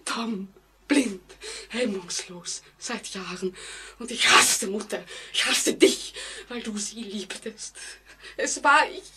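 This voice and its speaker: An actress playing a terrified, guilt-ridden woman in an old radio play, speaking haltingly with melodramatic anguish. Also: mid-range voice, pushed and bright, breathy edge, exaggerated voice. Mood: anguished confession. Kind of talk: 1940s German radio play acting. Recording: noisy old 1949 radio recording.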